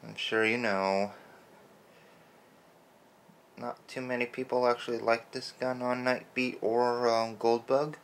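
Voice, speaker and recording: A man quietly rambling as he paints a toy, his throat a little rough from early-morning allergies. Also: medium pitch, flat delivery, takes it slowly, long pauses, slight background noise. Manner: soft-spoken, rambling with fillers. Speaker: toy customizer. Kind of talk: casual hobby narration while painting.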